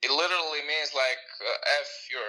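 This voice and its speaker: A young man with a Bulgarian accent, speaking English as a second language, his voice very bright and a touch high. He talks casually as a podcast guest. He often hesitates and searches for the right English word. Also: fairly quick, rough recording. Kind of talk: casual conversation.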